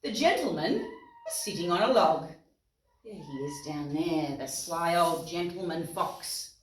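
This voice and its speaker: A woman reading a story aloud to young children in an expressive, dramatic way, with asides to her listeners. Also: on the low side, measured pace, slight background noise. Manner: expressive, dramatic gasps and exclamations. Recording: roomy echo, distant mic, music.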